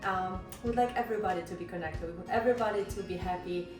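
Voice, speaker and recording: A woman's voice: fairly low pitch, rapid-fire. Polish lady hosting a casual cooking chat, echoey kitchen, mic far off, music behind. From Poland. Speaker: host. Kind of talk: casual chat while cooking, asking questions. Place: indoor kitchen with chopping and clattering dishes. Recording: roomy kitchen, distant mic, music underneath.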